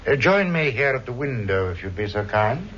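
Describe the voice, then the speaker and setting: An actor playing a suave, cruel criminal mastermind with silky, formal, mocking politeness, in 1960s radio drama style. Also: male voice, medium pitch, big pitch swings, moderate pace, a bit bright. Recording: muffled vintage radio recording, faint noise.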